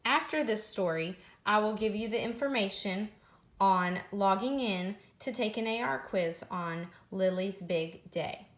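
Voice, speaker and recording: A woman narrating a children's picture book aloud in a deliberate, expressive, teacher-like way. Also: mid-range voice.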